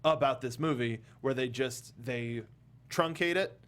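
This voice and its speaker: A man's voice: mid-range voice, measured pace, a bit bright. A man hosting a casual panel chat, talking in a jokey, rambling way. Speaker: podcast host.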